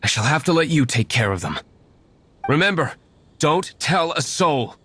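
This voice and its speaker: A man's voice: on the high side, sing-song, moderate pace, bright, forceful tone, a little hiss or hum. An actor playing an aloof, brooding young nobleman and secret vigilante in a video game, formal, dry and curt. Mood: stern.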